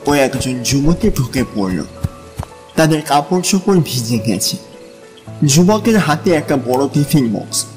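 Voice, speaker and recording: A man narrating an audio story in a dramatic, scripted reading style. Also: fairly high pitch. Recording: long reverb over music. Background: music, rain.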